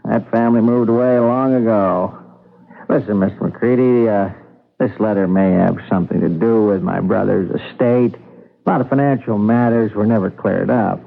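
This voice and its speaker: An actor playing a skeptical, well-spoken gentleman with a dry, condescending manner in a radio drama. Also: on the low side, fairly even intonation, moderate pace, vocal fry. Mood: dry, insistent. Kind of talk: 1970s radio drama acting. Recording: muffled narrow-band old radio broadcast.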